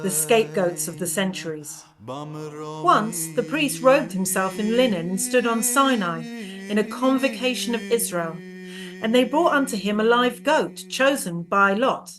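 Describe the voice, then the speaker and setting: A woman's voice: medium pitch, lively intonation, a crowd in the background, close to an everyday voice. Woman performing a grave stage declaimer, audience audible. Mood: reverent, solemn. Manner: declamatory, deliberate phrasing. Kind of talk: theatrical recitation in a stage play.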